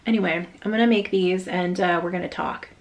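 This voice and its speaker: A woman's voice: fairly low pitch, brisk, gravelly. Casual, rambling woman vlogger. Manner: informal, rambling, thinking aloud. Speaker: dog walker. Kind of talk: casual chatty vlog talk.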